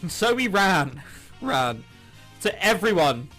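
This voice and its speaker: A man's voice: sits high, very animated, slow, bright, forceful tone, faint music behind. A man doing a playful let's play, reading a visual novel aloud with dramatized lines and jokey, sweary asides. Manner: acts out dialogue in exaggerated voices.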